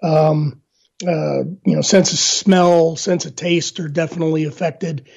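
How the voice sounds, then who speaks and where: A middle-aged man with a hoarse, raspy, gravelly voice, talking slowly through many fillers. His voice sits a little high for a man, and the dull, lo-fi line muffles it. He speaks in a casual interview. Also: fairly even intonation, darkish tone. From Northern Virginia, USA. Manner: halting, many "uh" fillers and restarts. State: hoarse from illness. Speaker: guest.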